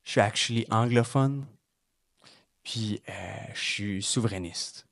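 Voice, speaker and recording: A man's voice: fairly low pitch, a little slow, no room sound, studio quality. A young man, a rapper guest talking casually and mixing with English slang. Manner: relaxed, mixes French and English slang. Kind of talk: casual interview chat.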